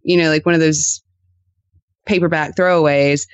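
A woman chatting casually as a podcast guest, telling animated anecdotes and acting out reactions in quotes. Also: low-pitched, normal speed, smooth, dry recording, muffled recording. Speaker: podcast co-host appearing as guest. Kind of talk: casual interview chat.

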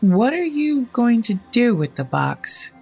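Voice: mid-range voice, sing-song, takes it slowly, gravelly, a little mellow, slightly rough, soft background music, pitched above the performer's own voice, full character voice. A storyteller voicing a blunt, sarcastic teenage girl who questions things with open disbelief in an audio horror story. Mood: alarmed.

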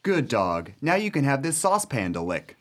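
Man as a sly tramp, hugely swinging pitch. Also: wildly swooping pitch, moderate pace. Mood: coaxing. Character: sly, smooth-talking male tramp burglar. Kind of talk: radio play acting.

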